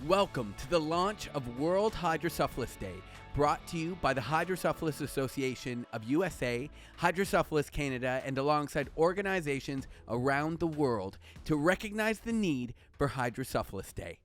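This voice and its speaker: A man's voice: on the high side, lively intonation, moderate pace, a bit bright, faint music behind. A man hosting a podcast livestream, upbeat and loose, with a few fillers. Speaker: podcast host.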